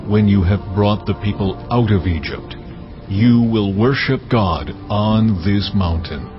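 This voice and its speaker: An actor playing God as a solemn, commanding divine voice, proclaiming slowly and with weight. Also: male voice, lowish voice. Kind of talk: dramatized scripture reading. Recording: muffled, over loud cinematic music.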